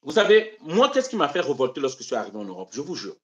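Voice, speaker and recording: A man from Congo-Brazzaville hosts a live stream and talks politics to his viewers. His delivery is impassioned and rhetorical, with a very lively pitch that swings from loud declaiming to low muttered asides. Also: quick pace, muffled recording. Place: home in Europe.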